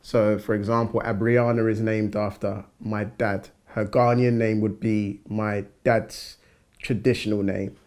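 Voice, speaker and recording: Man, low monotone, relaxed and hesitant, lots of ums. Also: unhurried. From southwest London. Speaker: married guest sharing his experience. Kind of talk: casual personal testimony.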